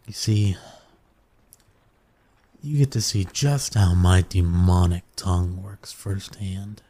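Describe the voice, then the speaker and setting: A man plays a seductive male incubus in an intimate ASMR roleplay. His voice is very low and dark, and he takes his time, purring slowly. He is smug and dominant, yet coaxing and soothing. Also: fairly even intonation. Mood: seductive, boastful. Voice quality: low, breathy, husky. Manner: slow, teasing, purring, coaxing with pet names.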